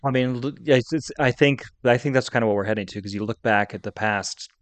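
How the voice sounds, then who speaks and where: A man's voice: mid-range voice, fast, dry recording. A middle-aged man talking casually and conversationally as the co-host of a podcast.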